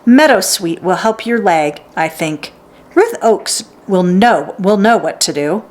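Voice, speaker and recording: A woman's voice: medium pitch, lively intonation, unhurried, darkish tone, lightly acted. A woman voicing an earnest, hopeful young girl in a children's storybook, meek but determined and pleading. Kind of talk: children's storybook read aloud.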